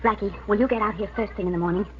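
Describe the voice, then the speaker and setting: A woman's voice: medium pitch, steady tone, very fast, close to an everyday voice. An actress playing a pert, playful young woman, a detective's sidekick, in a 1940s radio crime drama. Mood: polite, brisk. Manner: pert, bantering. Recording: muffled, noisy old radio recording.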